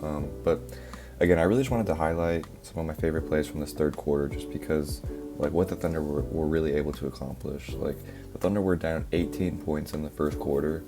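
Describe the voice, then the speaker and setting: A young man, a little hoarse from a cough, casually breaking down basketball plays with lots of fillers. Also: a bass voice, fairly quick. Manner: relaxed, filler-heavy, explaining step by step. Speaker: basketball analysis creator. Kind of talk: casual play-by-play film breakdown. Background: background music.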